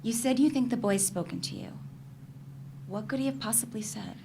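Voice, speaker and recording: A woman's voice: a little high, moderate pace, vocal fry, mellow. Woman acting candid, curious girlfriend, noisy live recording. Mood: skeptical, curious. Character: candid, curious, skeptical girlfriend probing her lover's secrets. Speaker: actor in a staged reading. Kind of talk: dramatic stage reading of a novel.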